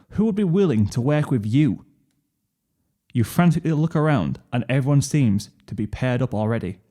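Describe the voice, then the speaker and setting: A man reading a second-person story as a narrator, expressive, with a weary, brooding delivery. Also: medium pitch. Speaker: fan fiction narrator. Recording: piano music under the voice. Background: piano music.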